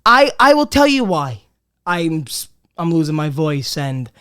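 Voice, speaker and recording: Male comedy podcast host, clear voice though he's losing it, rambling and profane, lots of false starts. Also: a little high, very animated, unhurried, fairly bright tone. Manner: rambling, profane, many restarts and repeats. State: losing his voice, a bit under the weather. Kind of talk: casual comedy podcast monologue.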